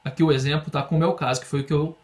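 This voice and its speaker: A man's voice: on the high side, fairly even intonation, a little mellow. Patient Brazilian man teaching English. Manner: patient, explains slowly, drills phrases for repetition. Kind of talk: online language lesson.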